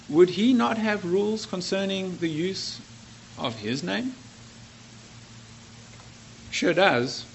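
A man with a South African accent, preaching in an earnest, conversational way and often correcting himself. His voice sits at an ordinary pitch. A faint hiss of room noise runs underneath. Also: fairly bright tone. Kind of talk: sermon.

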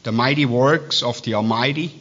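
A man with a Swiss accent preaches in a bright, pressing voice. He reads scripture plainly but keeps wandering into halting asides, restarting his words as he goes. The recording sounds dull and lo-fi. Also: medium pitch. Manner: digressive, halting, with many restarts and fillers. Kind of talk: sermon with asides.

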